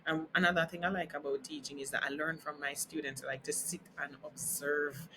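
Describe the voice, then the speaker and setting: A woman's voice: sits low, fairly even intonation, fairly quick, background noise. A Jamaican woman whose first language is Jamaican Creole, a teacher speaking earnestly as a podcast guest and switching into Creole now and then. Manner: earnest, explains carefully, slips into Creole. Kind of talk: casual podcast interview.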